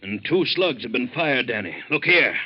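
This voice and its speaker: An actor playing a blunt, suspicious but loyal fishing-boat captain with plain slangy speech in 1940s radio style. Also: male voice, medium pitch, expressive, normal speed, slightly rough. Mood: insistent. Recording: muffled 1940s radio recording.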